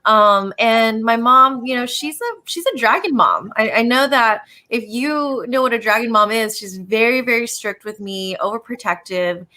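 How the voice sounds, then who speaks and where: A woman's voice: on the high side, normal speed, hardly any pauses, sharp tone. A young adult woman from Los Angeles, speaking casually as a guest on a panel and filling her talk with "you know" and "like". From Los Angeles, United States. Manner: chatty, many fillers like "you know" and "like". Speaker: panel guest, business owner.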